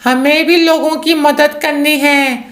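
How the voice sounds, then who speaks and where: A woman's voice: high voice, fairly even intonation, slow, fairly bright tone, roomy sound. A woman voicing an eager, admiring child who speaks earnestly in a children's cartoon story.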